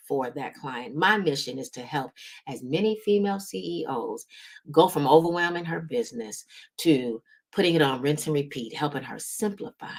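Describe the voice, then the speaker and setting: Low-voiced woman coaching with emphasis. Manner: emphatic, repeats words, often checks in with "right?". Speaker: business coach and host. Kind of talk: motivational business advice talk.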